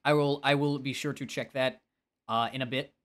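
Male game streamer, nasal tone, mid-range pitch, casual, expressive, self-deprecating, chatting with viewers. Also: normal speed. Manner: expressive, self-deprecating, breaking off to answer chat. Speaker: game livestreamer. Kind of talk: casual livestream chat while gaming.

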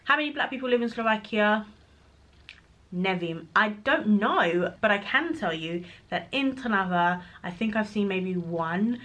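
A woman's voice: on the low side, a bit bright. A woman talks straight into a close camera, answering viewers' questions one after another. Her delivery is frank and chatty, like a casual home vlog recorded in a small bedroom. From Congo. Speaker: vlogger. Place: bedroom nursery, indoors. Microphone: camera at close range.

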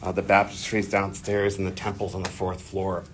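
A man's voice: sits low, monotone, fairly quick, slight background noise. A man in his forties, losing his voice, chatting casually while walking a live-stream tour of a church building and reading viewer comments aloud, his phone held at arm's length. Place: indoor church building hallway.

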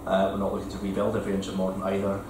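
A man answering councillors' questions at a meeting in a measured, explanatory way with frequent ums. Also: low-pitched, monotone, noisy background. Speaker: council regeneration officer. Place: large council committee room. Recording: echoey committee room from far off.

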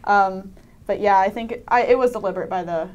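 A woman's voice: medium pitch, brisk, darkish tone, dull audio. A teenage young woman, a student actor, talks casually in a panel interview, hesitating and often dropping in "like". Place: indoor panel, seated group.

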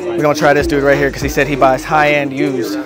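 A man's voice: mid-range voice, completely flat delivery, quick pace. A young man in his twenties hosting a prank vlog, talking laid-back and slangy with plenty of swearing, on a lapel mic inside a convention hall. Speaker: YouTuber host. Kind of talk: casual prank vlog chat. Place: indoor sneaker convention hall. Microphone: clip-on lapel mic. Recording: loud crowd and music behind.

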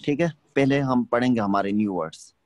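A man teaching an online language class, explaining slowly and checking that students follow. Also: mid-range voice, darkish tone, dry recording, dull audio. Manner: patient, explains slowly, checks understanding often. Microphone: video call.

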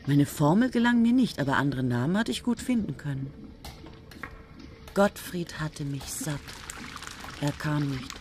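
An actress in a radio play playing a young woman student who speaks softly and dreamily. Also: sits low, expressive, a little slow, pauses often. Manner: soft and hushed, dreamy. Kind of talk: radio play acting and narration.

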